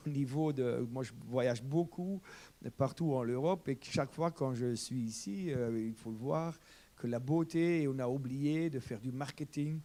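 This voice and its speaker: German-speaking man, passionate debate panelist, mellow dark voice, rambling. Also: mid-range voice. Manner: impassioned, repeats words, rambling. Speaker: farmer panelist.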